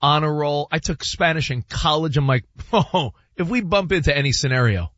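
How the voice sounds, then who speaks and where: A man's voice: a little high, normal speed, a bit bright, no echo, muffled recording. A man co-hosting a sports radio show, chatting casually and rambling with many restarts.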